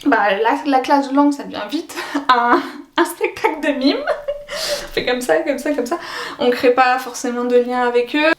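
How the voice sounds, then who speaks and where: A young woman language teacher explaining her views to camera, thoughtful, pausing often on fillers. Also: high voice, reverberant. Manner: thoughtful, hesitant with frequent fillers. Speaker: French as a foreign language teacher.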